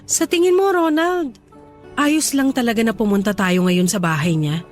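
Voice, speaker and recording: Cautious Filipino wife acted by a woman, slightly high. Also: moderate pace, lightly acted. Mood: doubtful, uneasy. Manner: questioning, hesitant. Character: cautious, doubtful wife and sister-in-law. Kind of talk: naturalistic radio drama dialogue. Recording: faint music under dialogue. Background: faint music.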